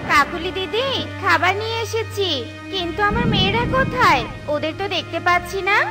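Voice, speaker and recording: A woman's voice: very high voice, animated, a little fast, music in the background. A woman voicing a caring, anxious mother bird in a cartoon, with an emotive, pleading manner. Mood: concerned. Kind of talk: Bengali cartoon voice acting.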